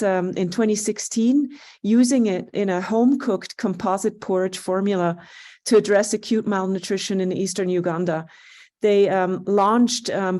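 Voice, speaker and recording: A woman moderating an online session, introducing the next speaker with halting speech and many fillers. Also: mid-range voice, a little mellow.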